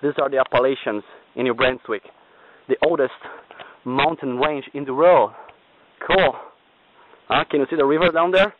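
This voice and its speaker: A man's voice: mid-range voice, a little slow, pauses often, phone-quality sound, background noise. A man giving an enthusiastic little tour of a mountain view while filming, narrating as he goes. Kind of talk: outdoor travel video narration.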